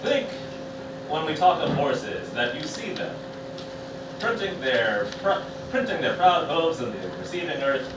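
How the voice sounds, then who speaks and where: A man voicing a rambling, pedantic mechanical man with halting delivery in a live comic radio play. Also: on the high side, big pitch swings, far from the mic, pitched below the performer's own voice. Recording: echoey room from afar, noisy.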